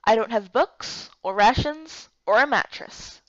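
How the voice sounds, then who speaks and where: Frustrated young game heroine acted by a woman, plaintive, high, lively, recorded dry. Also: unhurried, no echo, dull audio. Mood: resigned.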